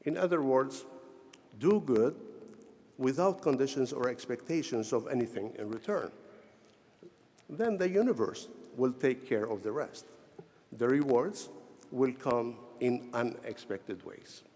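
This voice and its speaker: A middle-aged or older man from Syria with a Syrian Arabic accent gives a formal, prepared ceremonial speech in measured, deliberate short phrases. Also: medium pitch, animated, a little mellow. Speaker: honorary doctorate recipient giving convocation address. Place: large convocation hall, speaking to graduates. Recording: echoey large hall with long reverb.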